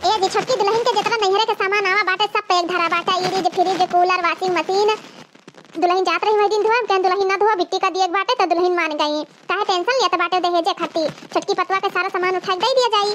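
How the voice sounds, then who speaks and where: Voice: very high-pitched, fairly even intonation, quick pace, fairly bright tone, slight background noise. A performer voicing a bossy, scheming village mother-in-law in an Awadhi cartoon comedy, speaking insistently with an Awadhi accent.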